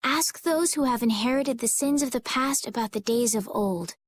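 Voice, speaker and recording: An actress playing a polite, earnest young maiden in a video game, with a soft, hesitant, courteous manner. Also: female voice, on the high side, normal speed, dry recording, close to an everyday voice. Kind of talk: video game cutscene acting.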